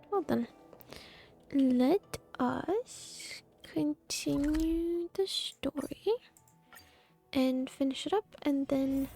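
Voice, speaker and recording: Woman game streamer, high pitch, unhurried pace, informal musing, hesitant, game music behind. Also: warm tone. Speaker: livestreamer playing a game. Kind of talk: casual chat over gameplay.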